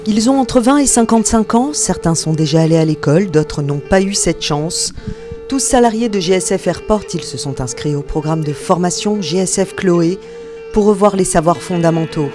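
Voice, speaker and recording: A woman reading a scripted corporate voice-over in an earnest, measured way. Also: lowish voice, lively intonation. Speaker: narrator. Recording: narration over loud guitar music.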